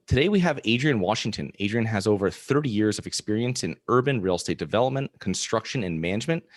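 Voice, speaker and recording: Fast-talking man hosting, low dry voice. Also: no room sound. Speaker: podcast host, interviewer. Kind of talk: scripted introduction and interview questions.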